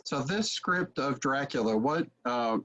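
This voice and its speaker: A man's voice: mid-range voice, animated, measured pace, pushed and bright. Middle-aged man on a dull video call. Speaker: interviewer, theater teacher. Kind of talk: casual interview chat. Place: book-lined room at home, indoors.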